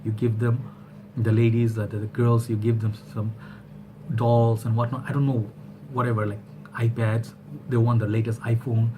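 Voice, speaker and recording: A man's voice: medium pitch, moderate pace. Man in his thirties, rambling webcam vlog, fillers and restarts, audible room hiss. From Bangladesh. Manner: animated, gesturing hands, rambling with fillers and restarts. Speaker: vlogger. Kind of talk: casual opinion monologue to camera. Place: small indoor room at home.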